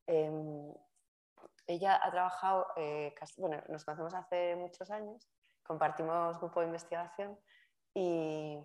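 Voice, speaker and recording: Low-pitched woman host, casual and self-correcting, introducing a speaker. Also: a little mellow. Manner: chatty, self-correcting, laughs at own slips. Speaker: course organizer introducing the speaker. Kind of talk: informal spoken introduction. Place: university lecture room.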